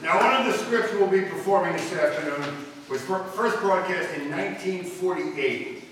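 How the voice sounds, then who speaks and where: A distant, reverberant hall recording with clear noise carries a man's voice. He hosts and narrates a scripted live radio show in a fairly high register. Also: normal speed. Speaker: host and narrator. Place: large hall, live performance.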